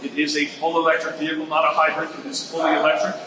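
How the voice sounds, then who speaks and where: Earnest canadian guy explaining stuff, bit higher voice, sounds far off. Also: monotone, very fast. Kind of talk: casual live chat. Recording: echoey room, far from mic, loud background noise.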